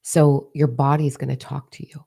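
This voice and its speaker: Hoarse woman, candid and chatty. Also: a bass voice, little pitch movement, moderate pace, mellow. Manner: candid, swears freely, chatty and confiding. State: hoarse, partly lost voice. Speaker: spiritual teacher. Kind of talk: casual spiritual talk to followers.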